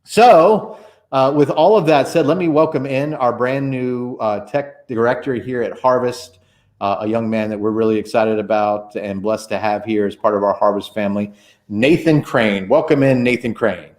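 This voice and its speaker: A man's voice: mid-range voice, normal speed, a little mellow. A man in his forties hosting a casual church livestream interview, chatty and rambling with many fillers and restarts.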